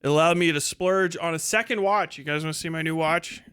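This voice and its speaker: A man's voice: fairly high pitch, a little fast, a bit bright, clear, resonant tone, no echo, studio quality. An American man hosting a podcast livestream in a casual, irreverent, rambling way, swearing freely as he goes. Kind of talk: casual profane livestream monologue.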